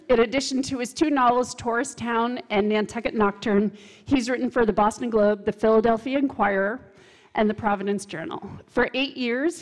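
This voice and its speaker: A woman on a festival committee reading a formal introduction of speakers from a podium. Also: on the high side. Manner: measured and formal, reading from notes. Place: stage of a hall with an audience. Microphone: podium mic on a stand. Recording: long hall reverb.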